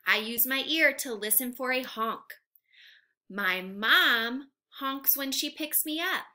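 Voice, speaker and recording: A young woman reading aloud to small children like a teacher, asking simple questions in an animated, carefully enunciated way. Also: high-pitched, slow. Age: young adult. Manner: animated, exaggerated, enunciated for little learners. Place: living room at home, indoors.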